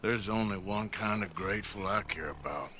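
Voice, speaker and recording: A man playing a gruff, sardonic American cowboy gunslinger in a video game, with a dry drawl. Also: on the low side, moderate pace, sharp tone. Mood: unimpressed. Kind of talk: video game cutscene acting. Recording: noisy outdoor game ambience under dialogue.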